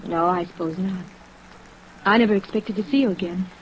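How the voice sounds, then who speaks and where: An actress playing a cool, guarded society wife in a vintage radio drama. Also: female voice, fairly low pitch, brisk, lightly acted. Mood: cool, resigned. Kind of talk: 1940s-50s radio drama acting. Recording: muffled, noisy vintage radio recording.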